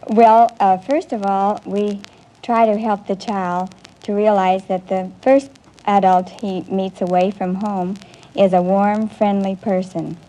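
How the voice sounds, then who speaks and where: A woman's voice: medium pitch, level tone, takes it slowly, mellow, low-quality recording. A woman answering politely and earnestly as a quiz show contestant on a vintage broadcast. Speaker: contestant, nursery school teacher. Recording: vintage broadcast, audience in background.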